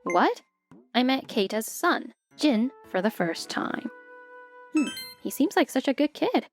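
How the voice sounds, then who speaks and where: A polite, put-upon stepmother played by a woman narrates her troubles in a manga dub. Her voice sits fairly high and expressive, with soft music underneath. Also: a little slow. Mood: bewildered, uneasy. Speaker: narrator and lead character. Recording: music bed behind the voice.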